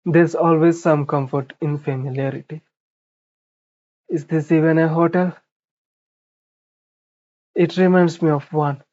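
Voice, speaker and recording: A streamer reading visual novel narration aloud in a mid-pitched voice, with casual asides. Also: darkish tone, highs cut off.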